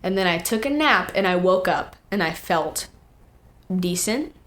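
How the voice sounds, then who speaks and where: A teenage young woman, a guest singer, telling stories in casual, animated chat full of quoted asides. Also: fairly low pitch, a little slow.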